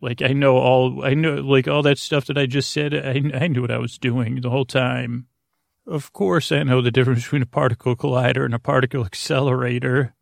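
A man with a rusty, gravelly voice hosting a bedtime podcast, meandering absent-mindedly through halting tangents and often interrupting himself. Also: medium pitch, fairly even intonation, dry recording, studio quality. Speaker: podcast host. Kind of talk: rambling bedtime monologue.

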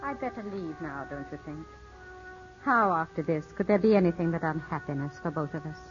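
An actress playing an elegant, composed widow, gently sorrowful and guarded, speaking with measured poise in 1950s radio drama style. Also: female voice, deep voice, animated, over music. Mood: wounded dignity. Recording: dull, muffled 1950s radio broadcast.

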